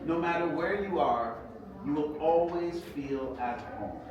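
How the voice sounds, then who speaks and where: A man's voice: fairly high pitch, unhurried, muffled recording, crowd noise, lightly acted. Man as a patient grandmother, echoey room. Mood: patient, tender. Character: patient, loving grandmother of a hot-tempered boy. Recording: echoey room, distant mic, background noise.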